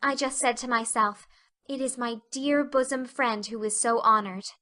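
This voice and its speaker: A woman's voice: fairly high pitch, steady tone, moderate pace, sharp tone. A woman voicing a dreamy thirteen-year-old girl, effusive, romantic and theatrical, in an audio drama. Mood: thrilled.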